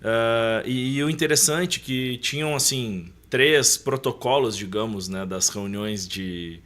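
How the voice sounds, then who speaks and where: A man's voice: mid-range voice, a little slow, a bit bright. A man, reflectively telling personal recollections as a podcast guest. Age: 30s. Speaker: interview guest. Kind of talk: conversational podcast storytelling.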